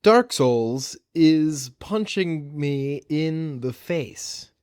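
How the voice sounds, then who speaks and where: A man's voice: fairly high pitch, expressive, very slow, darkish tone, clean tone, no echo, clean studio sound. A man hosting a solo podcast, chatting casually and informally about films and games in slangy, hesitant phrases. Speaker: podcast host.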